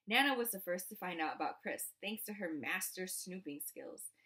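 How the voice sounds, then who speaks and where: A woman reading a first-person novel aloud as expressive narration. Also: fairly low pitch, moderate pace. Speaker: audiobook reader.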